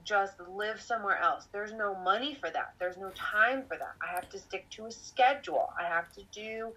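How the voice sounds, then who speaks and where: A woman's voice: mid-range voice, normal speed, pushed and bright, poor audio. A woman of twenty-eight chatting on a podcast, rambling and self-reflective, laughing now and then. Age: 20s. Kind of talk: casual conversational storytelling.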